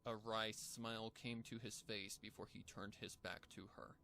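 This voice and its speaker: A man's voice: fairly low pitch, little pitch movement, normal speed, creaky, bright, forceful tone, a little hiss or hum. A man giving a dramatic scripted narration of a horror fan fiction story. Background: music.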